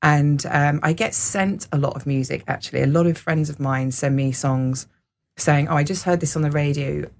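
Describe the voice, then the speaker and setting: A singer rambles through stories in a radio studio, with plenty of ums and laughs. The voice sits mid-pitch with a gravelly fry at the edges of phrases. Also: normal speed. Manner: rambling, warm anecdotes with frequent laughs and fillers. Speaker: singer and music teacher. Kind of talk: casual radio interview.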